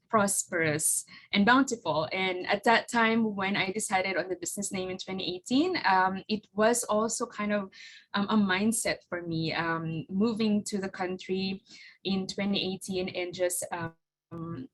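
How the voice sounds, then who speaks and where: A woman's voice: mid-range voice, steady tone, unhurried, a little hiss or hum, poor audio. A woman in her forties from the Philippines, whose first language is Tagalog, gives a casual presentation over a video call, hesitant and full of fillers and restarts. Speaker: guest speaker presenting her flower farm.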